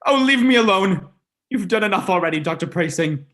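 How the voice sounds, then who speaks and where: A student actor playing an earnest, protective, suspicious gentleman in a melodramatic vintage radio mystery. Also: high-pitched, expressive, fairly quick. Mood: angry, accusing.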